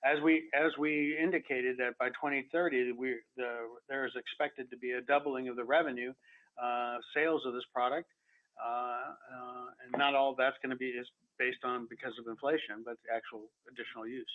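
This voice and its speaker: Halting man on a phone line. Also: mid-range voice, fairly even intonation. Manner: halting, stammering, heavy hesitation. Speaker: company executive on an earnings call. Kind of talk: unscripted answers to analyst questions. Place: telephone conference call. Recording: muffled narrow phone-line audio.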